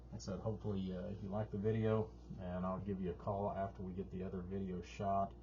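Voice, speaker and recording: A man's voice: sits low, level tone, fast talker, mellow. A man casually talking through an amplifier demonstration in a folksy, rambling, informal way, as a repair technician. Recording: muffled sound with clear background noise.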